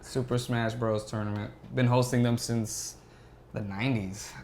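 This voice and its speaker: Easygoing-paced man chatting, medium pitch, faint noise. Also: flat delivery, measured pace. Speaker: podcast guest. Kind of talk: casual chat. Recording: faint background noise and room ambience.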